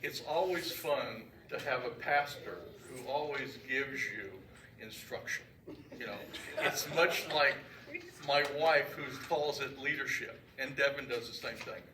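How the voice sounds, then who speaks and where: A man reporting as a church trustee at a council meeting, loud and joking, talking freely off his notes into a handheld mic. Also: medium pitch, measured pace. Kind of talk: informal talk at a meeting. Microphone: handheld microphone. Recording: echoey room, mic far off, background noise.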